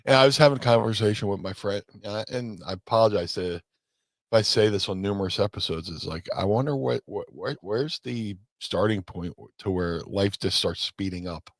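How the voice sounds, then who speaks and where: A man's voice: fairly low pitch, fairly quick, no room sound, clean studio sound. A man, co-hosting a casual, profane chat and rambling with restarts. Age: 50s. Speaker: co-host.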